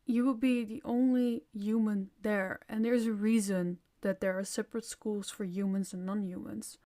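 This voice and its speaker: A woman playing a gentle, concerned vampire mother in an audio roleplay, coaxing a child with nurturing but firm parental care. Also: medium pitch, fairly even intonation, moderate pace, close to an everyday voice. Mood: worried, coaxing. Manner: soft-hearted, coaxing, parental.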